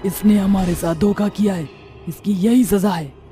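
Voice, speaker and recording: A woman's voice: medium pitch, fairly even intonation, a little slow, dark tone, close to an everyday voice. A woman voicing a vengeful but righteous witch ghost in a horror cartoon, with a stern, dramatic delivery. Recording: music behind the voice.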